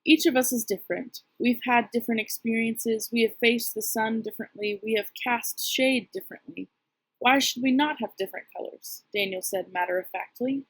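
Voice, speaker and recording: A woman's voice: on the high side, steady tone, moderate pace, fairly bright tone, slightly rough, no room sound, low-quality recording. A woman reading a children's storybook aloud, dialogue included. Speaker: amateur reader, choir member.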